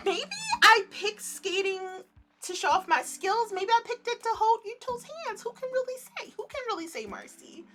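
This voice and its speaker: Woman, let's-play narrator: extremely high pitch, highly animated range, giggly and gushing, music behind. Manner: giddy, giggly, gushing reactions breaking into the reading. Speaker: streamer playing and reading a visual novel aloud.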